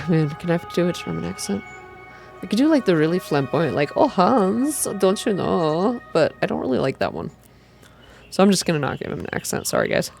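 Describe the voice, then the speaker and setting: A woman reading a story game aloud in ASMR style, slow and gentle, with casual asides. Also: low voice, very animated, vocal fry, soft background music. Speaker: game streamer reading a visual novel aloud.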